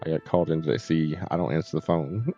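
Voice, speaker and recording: Deep, mellow middle-aged man chatting casually. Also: fast talker. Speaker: game live streamer. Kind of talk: casual chat while gaming. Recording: dull, slightly muffled stream audio. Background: faint game music.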